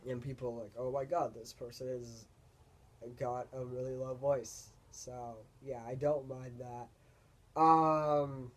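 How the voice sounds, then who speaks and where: A young man with a deep voice, talking casually to camera in a rambling, hesitant way as he searches for words. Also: little pitch movement, slow pace. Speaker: vlogger. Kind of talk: casual personal vlog monologue.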